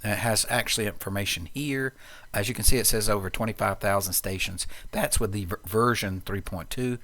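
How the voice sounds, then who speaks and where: A man narrating a software tutorial in a casual, plain-spoken way, explaining things step by step. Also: fairly low pitch, brisk.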